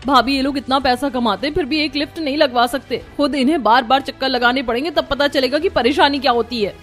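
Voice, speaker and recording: Woman playing young daughter-in-law, fairly high voice, overworked, complaining, expressive, cartoon drama, music behind. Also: fast. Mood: aggrieved. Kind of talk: Hindi cartoon family drama.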